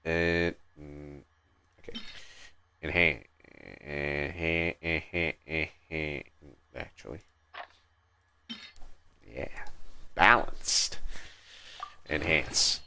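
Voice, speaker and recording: A man giving casual livestream gameplay commentary, thinking aloud in a halting, muttering way. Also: very deep voice, fairly even intonation, bright, forceful tone, slight background noise. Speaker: game livestreamer.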